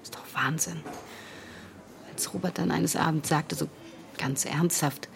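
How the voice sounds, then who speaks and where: An actress playing a grieving, insistent young woman in a naturalistic radio drama, speaking earnestly and haltingly. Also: sits low, steady tone, gravelly, exaggerated voice. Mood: hurt, pleading. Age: young adult.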